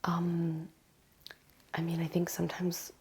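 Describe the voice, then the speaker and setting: A woman playing a mild, cooperative patient with a sore back in an ASMR roleplay, answering in a hushed, hesitant way. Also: on the low side, completely flat delivery, slow pace, slightly rough, heavily acted. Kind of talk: ASMR medical roleplay, soft-spoken.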